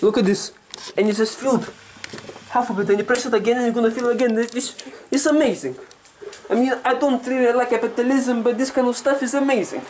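A man's voice: extremely high pitch, fast talker, darkish tone. Cheeky Slavic guy a man puts on, noisy recording. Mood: rambling, amused. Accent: put-on Russian. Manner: rambling, broken English, repeats phrases. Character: cheeky, foul-mouthed young Slavic man, comedic persona. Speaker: vlogger. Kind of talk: casual comedic vlog. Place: small home kitchen indoors. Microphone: handheld camera at arm's length. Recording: noisy handheld camera with clicks.

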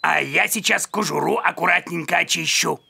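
A performer voicing a young male caterpillar in a children's cartoon, gravelly and creaky, with exaggerated courtesy and some grumbling. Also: medium pitch, normal speed, bright, forceful tone, heavily acted. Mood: mannered politeness. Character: young male caterpillar, grumpy but trying to be polite. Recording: background music throughout.

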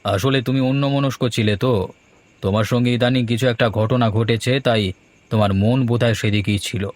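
A man playing a kindly, secretive ghost uncle with a gentle, measured manner in a horror cartoon. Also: medium pitch, fairly even intonation, no echo, lightly acted. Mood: calm, evasive.

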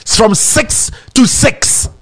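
A young Ghanaian man with a Ghanaian accent, hosting a mocking commentary show and mixing English with Twi. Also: drawn-out words. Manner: animated, sarcastic, breaks into laughter and sing-song mockery. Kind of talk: animated commentary. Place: indoor studio set.

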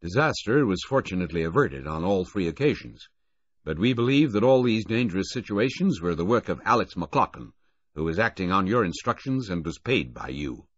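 A man voicing a middle-aged railway director who speaks in a formal, measured way. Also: low-pitched, sing-song, no echo, pitched above the performer's own voice. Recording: dull lo-fi, dry close audio.

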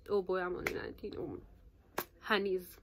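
A nineteen-year-old woman talking casually to camera in a vlog, chatty and animated, with constant fillers, filmed at arm's length in a small bedroom. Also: fairly low pitch, moderate pace, noisy background. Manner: chatty, animated, gestures a lot, says "like" constantly. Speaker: YouTuber. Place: small bedroom in front of a patterned curtain. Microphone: phone or camera at arm's length.

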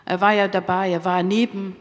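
A woman's voice: medium pitch, level tone. Careful woman interpreter in a reverberant hall. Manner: careful, halting short phrases with rephrasing. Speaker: consecutive interpreter. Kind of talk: solemn spoken interpretation of a eulogy. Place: theatre stage. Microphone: microphone on a stand.

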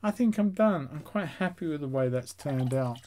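A man's voice: on the high side, expressive, normal speed, darkish tone, soft background music. A man in his sixties hosting a painting tutorial, chatting casually and encouragingly to his viewers. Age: 60s. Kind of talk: casual instructional chat to viewers.

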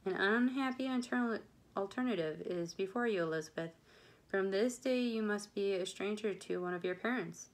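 A woman reads as a dry, middle-aged gentleman father in a period novel. He speaks with measured formality and calm sardonic wit. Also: mid-range voice, natural voice, rough recording. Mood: stern, wry.

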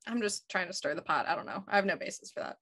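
Young woman panel guest, bright punchy voice, playful casual chat. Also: medium pitch, fast talker, breathy edge. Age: young adult. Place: room at home, video call.

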